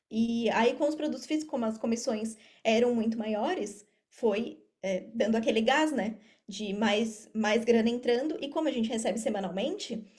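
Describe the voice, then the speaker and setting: A woman's voice: on the high side, darkish tone. A woman with a Brazilian Portuguese accent talking casually as an interview guest, explaining things and repeating phrases for emphasis. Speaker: podcast guest, affiliate marketer.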